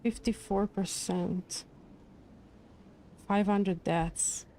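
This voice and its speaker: A woman's voice: mid-range voice, steady tone, very slow, darkish tone, slight background noise. A woman livestreaming a game, giving casual, musing and empathetic commentary. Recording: game music behind the voice.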